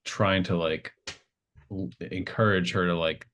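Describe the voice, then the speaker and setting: Guy game master, really low voice, halting and agreeable, just chatting stuff through. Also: fairly even intonation, a bit bright, a little hiss or hum. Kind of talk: casual collaborative game chat.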